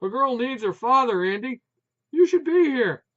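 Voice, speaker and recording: A reader voicing an emotional wife who shouts shrilly over the noise of barking dogs. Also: sits high, expressive, normal speed, highs cut off, pitched above the performer's own voice. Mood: shrill shouting.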